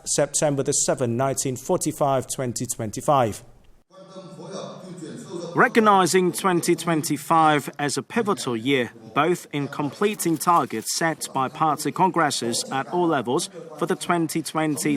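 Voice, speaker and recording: A man reading scripted news in a formal, measured way as a presenter. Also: fairly high pitch, no echo.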